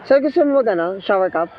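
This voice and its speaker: A man with a very animated, swinging pitch, teasing and chatty as he vlogs from a motorcycle. His voice comes through a muffled helmet intercom, with clear road and engine noise around it. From Philippines. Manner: jokey, teasing, laughs often. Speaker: motorcycle taxi rider and vlogger. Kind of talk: casual chat and vlog narration. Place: outdoors riding a motorcycle in traffic. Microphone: helmet intercom mic.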